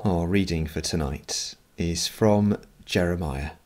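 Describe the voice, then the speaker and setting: A man speaks as a priest leading evening worship. His voice is on the low side and his delivery is very slow. He reads psalms and prayers solemnly, setting out each phrase in turn. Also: a little mellow. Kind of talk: liturgical prayer and scripture reading.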